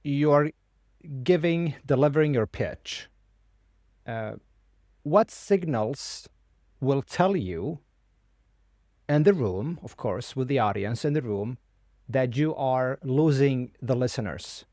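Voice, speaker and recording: A male podcast host with a mid-range voice asks questions in a calm, casual way, often murmuring as he listens. The sound is dry and close. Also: mid-range voice, slow, long pauses, no echo.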